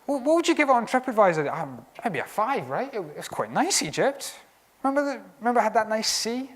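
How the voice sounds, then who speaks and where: A man's voice: high voice, big pitch swings, brisk. A man voicing a grumbling ancient Israelite who chats wistfully and gossipily about the good old days in Egypt. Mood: mock nostalgic. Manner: wistful, gossipy, mock-nostalgic chatter.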